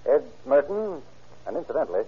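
Quick, husky old-time radio sheriff. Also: male voice, mid-range voice, very animated, narrow, tinny audio. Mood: wry, businesslike. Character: seasoned, authoritative sheriff, dryly wry chief. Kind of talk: 1940s-50s radio crime drama acting. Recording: old radio drama recording.

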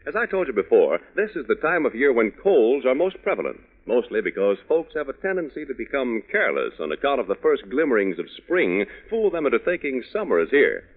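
A man's voice: medium pitch, big pitch swings, brisk, hardly any pauses. A man announcing on 1940s radio in a scripted, folksy, instructive and emphatic style. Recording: old narrow-band radio recording.

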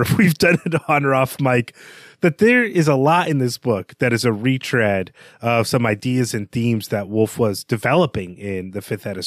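A man's voice: mid-range voice, no echo, studio quality. A man co-hosting a podcast, analysing a book reflectively and restating his points as he goes. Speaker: podcast co-host.

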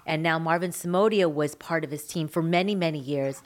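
A woman hosting a boxing podcast, chatty and excitable, asking long rambling questions and laughing often. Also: low voice, brisk. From Philippines heritage, Filipino. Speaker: podcast host. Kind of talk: podcast interview.

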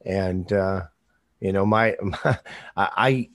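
A low-pitched man from the American Midwest, hosting an interview in a relaxed, halting way. He searches for words with plenty of fillers, and his sentences fade off softly. The recording is dry and close, with no room sound. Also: dry recording. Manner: halting, with many fillers, trailing off quietly. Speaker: podcast host. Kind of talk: casual interview chat.

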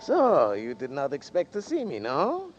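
A man's voice: on the high side, wildly swooping pitch, measured pace, a little hiss or hum. An actor playing a small, sly male schemer who talks in a florid, mocking, formal way, in a 1940s radio drama. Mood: sly, amused. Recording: dull old radio broadcast.

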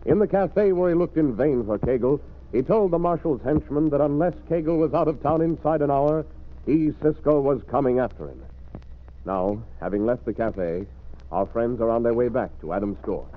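A man's voice: medium pitch, normal speed. Vintage radio announcer, man, muffled. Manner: dramatic, formal. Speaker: announcer and narrator. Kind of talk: 1940s-50s radio drama narration.

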